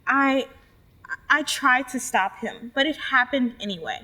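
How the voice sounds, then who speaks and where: A woman acting as a young college student with a high voice, shaken and hurt. She confides haltingly, in the manner of a stage monologue. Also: bright, forceful tone, exaggerated voice. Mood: distressed, hurt. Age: young adult. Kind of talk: readers theater monologue.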